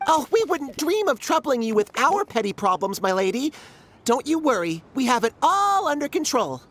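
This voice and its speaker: A woman's voice: high-pitched, sing-song, moderate pace. A woman voicing a small talking forest animal in a video game, a courteous townsperson with a dry, sarcastic streak. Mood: eager, deferential. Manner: courteous but dryly sarcastic. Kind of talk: video game cutscene acting.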